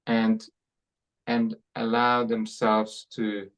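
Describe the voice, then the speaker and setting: A man's voice: lowish voice, monotone, fairly bright tone. A man hosting an interview, asking long questions and often repeating words as he searches for them. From Hungary. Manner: halting, repeats words while forming questions. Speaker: podcast host.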